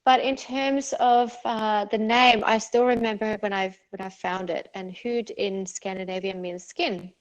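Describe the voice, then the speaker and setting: A woman's voice: medium pitch, steady tone, a little slow, hardly any pauses, rough recording. A middle-aged woman from Norway with a faded Norwegian accent, a clinic owner answering interview questions in a chatty way, with many fillers and restarts. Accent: Norwegian, partly faded.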